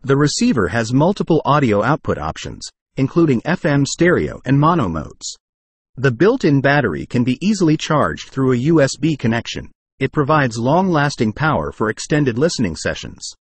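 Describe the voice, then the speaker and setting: A man with a husky voice reads a scripted product review in a formal, explanatory way. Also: mid-range voice, big pitch swings, moderate pace, no echo. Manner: formal, read-aloud explanatory delivery.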